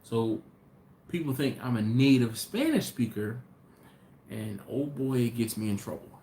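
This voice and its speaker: A man's voice: mid-range voice, lively intonation, measured pace, warm tone. Man, live-stream host, loose conversational delivery with restarts, echoey room with faint background noise. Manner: rambling, talks to viewers directly, restarts often. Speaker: barbecue channel host. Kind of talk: casual live-stream chat, reading viewer comments. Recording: roomy echo, faint ambient noise.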